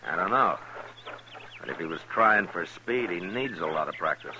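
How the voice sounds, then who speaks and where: Deepish, animated frontier lawman. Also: normal speed. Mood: dry, assured. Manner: laconic, dry. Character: easygoing, dryly humorous, authoritative frontier lawman. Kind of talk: 1950s western radio drama acting. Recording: noisy old 1950s radio recording.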